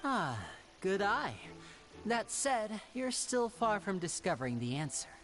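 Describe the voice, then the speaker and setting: A suave teasing stage magician. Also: male voice, high voice, sing-song, unhurried. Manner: smooth, courteous, teasing. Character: suave young male stage magician, theatrical and polite. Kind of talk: game cutscene voice acting. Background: game music.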